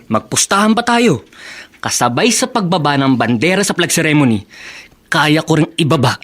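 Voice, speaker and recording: Cocky, girl-chasing college guy for radio drama, brash and cajoling, very animated pitch. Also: moderate pace. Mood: cocky, boastful. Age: young adult. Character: cocky, lustful male student, pleading boyfriend. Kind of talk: Tagalog radio drama acting.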